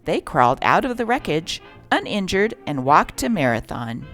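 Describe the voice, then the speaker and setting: A woman's voice: on the low side, sing-song, moderate pace, fairly bright tone, soft background music. A woman reading a script aloud as host and narrator, with a theatrical delivery.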